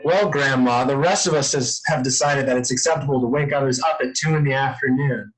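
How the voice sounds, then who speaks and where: A man's voice: mid-range voice, fairly quick, natural voice, low-quality recording. A man playing a responsible, exasperated brother in his twenties, sarcastic and scolding, in a screenplay table read. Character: reserved, exasperated responsible 26-year-old brother.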